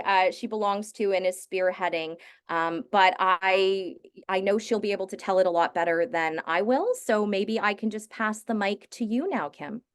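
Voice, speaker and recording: A woman's voice: medium pitch, smooth. A woman hosting a webinar, introducing the guest speaker in a chatty way with fillers.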